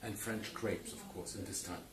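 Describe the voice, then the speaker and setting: A man's voice: fairly low pitch, steady tone. A man hosting a wine tasting interview, asking questions and describing the wine in a hesitant way with lots of fillers. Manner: hesitant, halting, with many fillers. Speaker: wine reporter. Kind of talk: casual interview. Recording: roomy, distant mic, faint background noise.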